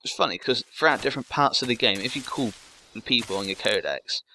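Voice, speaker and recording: A man's voice: mid-range voice, expressive, fast, pushed and bright. A man giving casual, chatty game commentary with offhand muttered asides. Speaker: let's play commentator.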